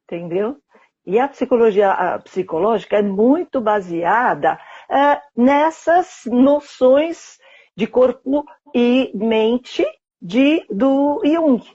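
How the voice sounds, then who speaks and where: A woman guest who explains at length in a breathy, husky voice, her pitch rising and falling in lively sweeps. Her delivery is conversational and keeps checking understanding with short tag questions. The recording sounds dull and lo-fi. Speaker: astrologer and psychotherapist guest.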